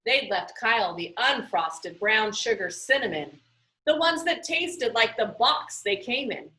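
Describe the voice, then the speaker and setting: Woman, storybook narration, high-pitched, very lively intonation, bright tone, expressive and emphatic. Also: measured pace, poor audio. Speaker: reader reading a children's book aloud.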